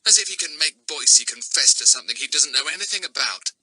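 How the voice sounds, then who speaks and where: A man voicing a helpful, warm-hearted young woman with a clear voice in a children's storybook. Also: on the high side, animated, quick pace, no echo, voice pushed up, full character voice, rough recording. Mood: indignant.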